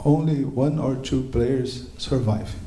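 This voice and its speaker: Slow, halting man. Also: mid-range voice, steady tone, warm tone. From Brazil. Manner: halting, searching for words, hesitant. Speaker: audience member asking a question. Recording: echoey temple room, faint hum.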